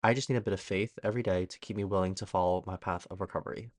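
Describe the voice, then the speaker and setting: Man, lower-pitched voice, scripted reflective reading, very dry sound without room echo. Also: steady tone, rapid-fire. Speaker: guest reader on a recovery podcast.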